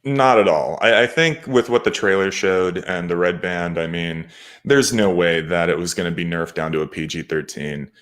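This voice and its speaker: A man with a low voice speaks as a guest on a panel. His delivery is conversational and informal. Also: moderate pace, fairly bright tone. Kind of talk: casual chat.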